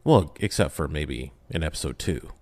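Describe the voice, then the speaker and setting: A man with a deep, low-pitched voice speaks in a close, dry recording. He talks like an interview guest recapping a saga, informal and slangy, leaning into dramatic emphasis as he tells it. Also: animated, moderate pace, darkish tone, no echo. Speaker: podcast guest, audio drama creator. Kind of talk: casual interview talk, recapping a story.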